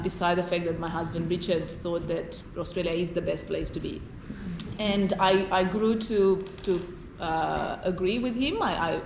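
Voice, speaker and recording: A woman with a Romanian accent telling her own story informally on a live panel, halting and repeating words as she goes. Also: fairly low pitch. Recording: echoey room with clear background noise.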